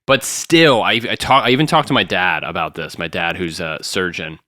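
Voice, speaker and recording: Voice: low-pitched, normal speed, sharp tone, no echo, clean studio sound. A podcast co-host bantering playfully and joking around, laughing often. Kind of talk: casual chat.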